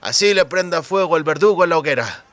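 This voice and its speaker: Audiobook lead read by a man: sarcastic educated youth, aloof delivery, fairly high bright voice. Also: animated, fast, no room sound. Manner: dry, aloof. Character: educated, sarcastic, slightly superior young man.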